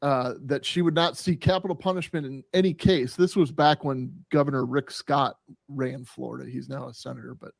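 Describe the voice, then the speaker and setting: A man's voice: mid-range voice, fairly even intonation, normal speed, creaky, no echo. A man on a podcast casually explaining a news story, retelling exchanges as quoted dialogue. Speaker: podcast panelist.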